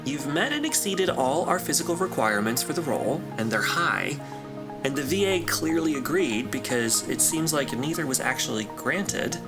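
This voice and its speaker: A man's voice: on the high side, sing-song, normal speed, music in the background. A man acting an easygoing corporate interviewer in a sci-fi audio drama, informal, tactful and reassuring. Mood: serious, probing. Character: smooth, easygoing corporate interviewer at aerospace company.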